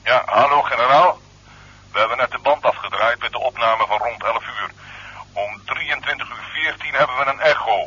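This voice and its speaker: An actor playing a dutiful, cautious coast guard officer reporting formally over a telephone in a 1970s radio drama. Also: male voice, medium pitch, moderate pace, bright, forceful tone, breathy edge, dry recording. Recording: muffled phone voice on old radio tape.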